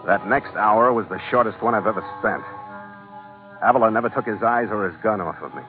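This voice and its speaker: A man's voice: on the low side, brisk, natural voice. An actor playing a tough, wry American sea captain, narrating his adventure tersely in a vintage radio drama. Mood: tense. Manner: terse, wry, hardboiled storytelling. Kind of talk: 1940s-50s radio adventure narration and acting. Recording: tinny vintage radio recording with music.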